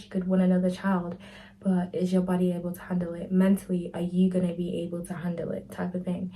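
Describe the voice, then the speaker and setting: A woman's voice: fairly low pitch, dark tone, low-quality recording. A woman, chatting candidly to camera in a casual Q&A vlog, full of "like" and "type of thing". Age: 20s. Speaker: lifestyle vlogger.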